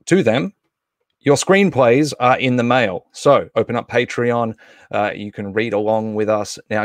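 A man's voice: medium pitch, no echo, studio quality. A man in his thirties or forties hosting a livestream, casually making announcements and introductions in an off-the-cuff, hesitant way. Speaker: livestream host.

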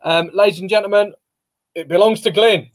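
A man's voice: high voice, steady tone, a little fast. A man, hosting a casual video-call interview, chatty and agreeable. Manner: chatty, agreeable, leading questions. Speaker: interview host. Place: indoor room with banner behind. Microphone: video call webcam.

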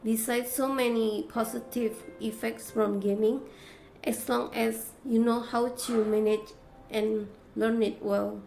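A woman in her thirties with an Indonesian accent gives a prepared speech to camera as a content creator, in deliberate, halting phrases. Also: on the high side, steady tone, vocal fry, darkish tone, poor audio. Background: faint music.

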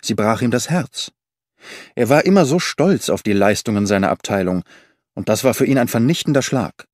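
A man's voice: mid-range voice, animated, moderate pace, no room sound, close to an everyday voice. A man reading the part of a distraught middle-aged brother in an audiobook, formal and strained, in a dry recording.

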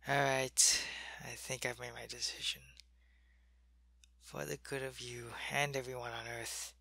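A feverish alien changeling soldier, voiced by a man for an ASMR roleplay, loyal to his queen and weak from illness. He talks slowly, in a soft, gravelly, husky half-whisper, with a bright, sharp edge to the tone, and the recording is close and dry. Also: mid-range voice, flat delivery, dry recording, heavily acted. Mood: weary, ill. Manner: soft, weary, often whispering. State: sick and weak, in pain.

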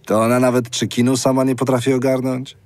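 An actor playing a grumbling, sneering middle-aged boyfriend in an audio drama. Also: male voice, medium pitch, level tone, quick pace, darkish tone, no echo, close to an everyday voice. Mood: scornful. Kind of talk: naturalistic audio drama acting.

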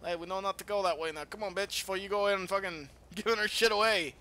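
A man giving casual let's-play game commentary in an excitable, foul-mouthed manner. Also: high voice, quick pace. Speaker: gamer playing and commentating.

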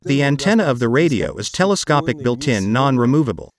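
Middle aged russian accent guy reviewing radio gear, matter of fact but lively intonation. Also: mid-range voice, brisk, crowd noise. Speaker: presenter of a radio equipment review channel. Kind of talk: scripted technical explanation. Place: small dim room with computer desk.

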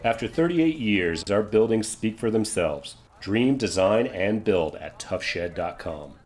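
A male announcer reads a scripted TV advertisement. His voice is fairly low in pitch and delivers short slogan-like phrases. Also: animated, unhurried. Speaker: commercial announcer. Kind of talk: TV ad voice-over.

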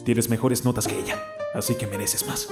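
A man's voice: mid-range voice, fairly even intonation, normal speed, natural voice. Man voicing a dramatic, exasperated anime schoolboy over music. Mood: brooding. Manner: dramatic, sarcastic, prone to outbursts. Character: exasperated teenage schoolboy, dramatic inner commentator. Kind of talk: anime fan dub acting and narration. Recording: music playing behind the voice.

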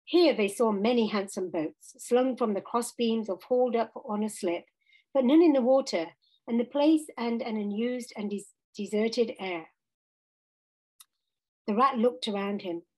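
Higher-pitched woman reading a storybook. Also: measured pace, darkish tone, rough recording. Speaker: audiobook reader. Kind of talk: expressive storybook narration.

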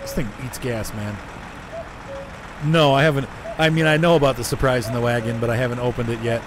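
A hoarse man chatting casually as he streams a driving game, rambling and answering his chat. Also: mid-range voice, steady tone, fairly quick. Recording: noisy game sound behind.

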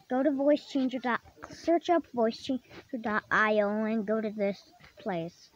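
A tutorial host casually explaining software steps in a halting way, often repeating and rephrasing. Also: high voice. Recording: dull lo-fi microphone, clearly noisy.

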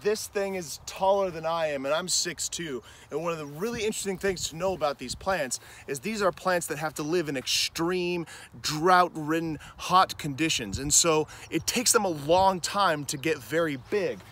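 A man's voice: on the high side, moderate pace, fairly bright tone. A man in his thirties on an outdoor nature trail, chatty and enthusiastic as he points out plants, recorded on the camera's mic. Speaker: gardening vlogger. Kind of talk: walking nature vlog. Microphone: handheld camera framing, on-camera mic.

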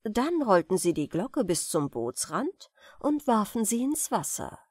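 A woman narrating a comic folk tale for an audiobook. She takes her time, with deliberate pauses and very lively intonation. The sound is close and dry. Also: mid-range voice, breathy edge, dry recording. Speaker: audiobook reader. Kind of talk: expressive scripted storytelling of comic folk tales.

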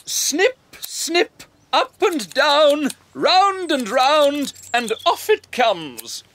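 A showy magic barber from a children's storybook, acted out by a woman. The delivery is slow and theatrical, chanting in a high voice that rises and falls widely, over faint noise. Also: very animated, fairly bright tone, heavily acted. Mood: mysterious, chanting. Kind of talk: acted storybook reading for English learners.

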